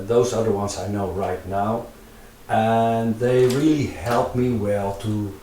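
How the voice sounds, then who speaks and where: A man from the Netherlands with a Dutch accent, native Dutch speaker, talking casually in English while drawing in VR, halting with many fillers and restarts. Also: fairly low pitch, a little mellow, echoey room, far from the mic, slight background noise, low-quality recording. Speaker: VR artist.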